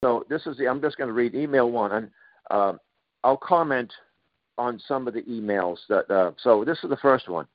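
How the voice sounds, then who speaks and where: A man's voice: medium pitch, dry recording. Sarcastic man on dry lo-fi radio. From Boston, Massachusetts. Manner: sarcastic, halting with frequent uhs and restarts. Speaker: radio talk show host. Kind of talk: talk radio monologue. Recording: dull lo-fi radio sound.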